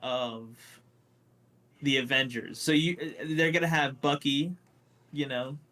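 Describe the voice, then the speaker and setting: A man's voice: mid-range voice, fairly even intonation, measured pace, pushed and bright. A man co-hosting a casual pop culture podcast, opinionated and swearing freely as he talks. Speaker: podcast co-host.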